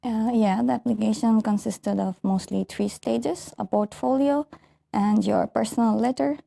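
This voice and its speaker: Young woman from Bangladesh, gravelly mellow voice. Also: fairly high pitch, steady tone, measured pace. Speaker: recent architecture graduate. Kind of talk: casual interview answers.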